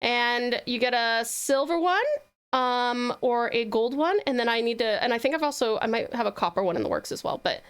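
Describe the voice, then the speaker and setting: A woman with a high voice hosts a casual livestream. She talks to her viewers in a chatty, gushing way, sweet and full of endearments. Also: animated, normal speed, fairly bright tone, smooth. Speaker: livestreaming virtual streamer host.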